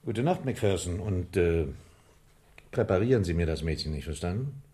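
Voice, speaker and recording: A man's voice: deep voice, expressive, moderate pace, warm tone. An actor playing a bossy, sardonic police chief in a German crime radio play, mocking and needling as he talks. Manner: mocking, blustery, teasing.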